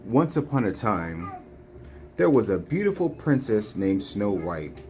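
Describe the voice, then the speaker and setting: A woman reading children's storybooks aloud in a deliberate, phrase-by-phrase way. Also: extremely low pitch, very animated, a little mellow, soft background music. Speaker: storybook reader. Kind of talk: children's read-along narration. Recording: faint background noise, home recording.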